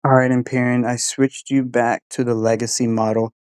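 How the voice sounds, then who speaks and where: A man's voice: mid-range voice, level tone, moderate pace, a little mellow, smooth, no echo. Man talking casually, dry close recording. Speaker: host running an AI voice test. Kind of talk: casual spoken product test commentary.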